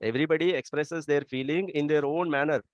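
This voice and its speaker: Indian male teacher who talks fast and quizzes the class. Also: fairly high pitch, fairly even intonation, dry recording. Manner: quick, asks the class for answers. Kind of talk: classroom lecture. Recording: dry, dull lo-fi sound.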